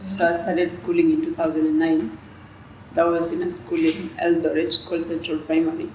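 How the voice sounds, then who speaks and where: A young woman from South Sudan, a native Dinka speaker, answering interview questions in a soft, hesitant way with many restarts. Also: low-pitched, level tone, moderate pace. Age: young adult. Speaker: student leader guest. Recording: echoey room with faint noise.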